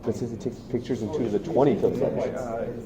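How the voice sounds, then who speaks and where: A man's voice: mid-range voice, fairly even intonation, a little fast. A young man casually thinking aloud, puzzling through technical details and correcting himself as he goes. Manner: puzzled, self-correcting reasoning. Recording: clear crowd murmur behind the voice.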